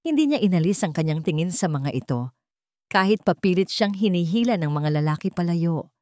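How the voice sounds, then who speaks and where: A woman with a Filipino accent reads a scripted dramatic story in a measured storytelling manner. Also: lowish voice, animated, warm tone, no room sound, studio quality. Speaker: story narrator. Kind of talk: scripted dramatic narration of a Tagalog love story.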